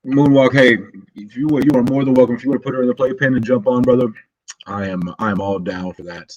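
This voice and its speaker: A man hosting a casual livestream, chatting easily with a guest and reading out chat messages, with a slow, relaxed delivery full of fillers. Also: medium pitch, no echo, dull audio. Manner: laid-back, drawling, many "uh" and "um" fillers. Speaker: livestream host.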